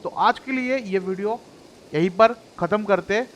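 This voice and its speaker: A man's voice: sits high, very fast, a bit bright, a little hiss or hum. A man with an Indian accent giving casual walkthrough commentary as the host of a gaming video.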